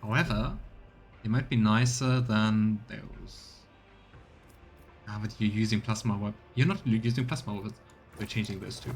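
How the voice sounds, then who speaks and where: A man streaming a role-playing game, chatting casually as he plays and rattling off item descriptions in quick bursts. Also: lowish voice, steady tone, faint music behind. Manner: thinks aloud, reads item text in quick bursts. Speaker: let's play streamer. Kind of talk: casual gameplay commentary.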